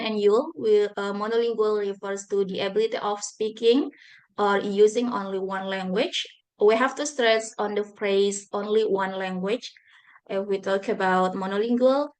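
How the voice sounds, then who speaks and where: A woman teacher speaks English with an Indonesian accent shaped by her first language, Bugis. She presents carefully and at a measured pace, pausing on fillers and correcting herself now and then. Her voice sits at an ordinary middle pitch. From Indonesia (South Sulawesi). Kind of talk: online speaking-practice presentation.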